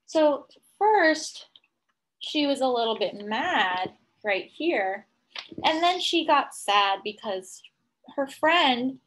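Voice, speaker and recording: A woman teacher reading a picture book aloud to young children, pausing to explain things simply and ask questions. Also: a little high, fairly bright tone, poor audio. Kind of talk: children's read-aloud with commentary.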